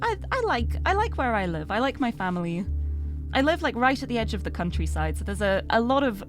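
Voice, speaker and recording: A woman's voice: fairly high pitch, sing-song, brisk, faint music behind. A young British woman from the UK, chatting in a rambling, self-deprecating way while streaming a game. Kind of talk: casual chat while gaming live.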